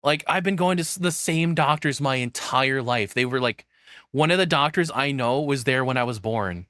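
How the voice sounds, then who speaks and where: A male streamer with a bright, forceful voice at an average pitch, talking casually through his reactions. He rambles and swears freely, and the sound is close and dry. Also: normal speed, no room sound. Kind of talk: casual live reaction commentary.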